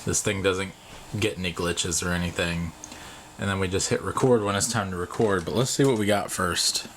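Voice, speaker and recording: A man in his thirties giving a casual vlog demonstration, unhurried and offhand as he thinks aloud. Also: sits low, steady tone, a bit bright. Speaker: vlogger. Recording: clear background noise, clicks.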